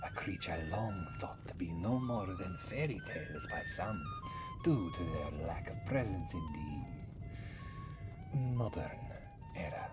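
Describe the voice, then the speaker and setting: A man voicing a mysterious, wry old cat-man, rambling in a breathy half-whisper for an ASMR fantasy roleplay. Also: low-pitched, wildly swooping pitch, heavily acted, rough recording. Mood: grave, storytelling. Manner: rambling, third-person speech, theatrical pauses. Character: mysterious old male Khajiit cat-man wanderer, wry, world-weary, teasing. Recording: music behind the voice. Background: prominent background music.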